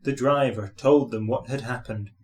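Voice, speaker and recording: A man's voice: medium pitch, moderate pace, darkish tone. A man narrating a scripted children's story as its reader.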